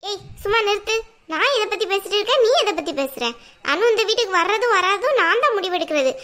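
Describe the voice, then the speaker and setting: A woman's voice: very high-pitched, expressive, quick pace, exaggerated voice. A woman playing a stern scolding grandmother. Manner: scolding, sharp-tongued.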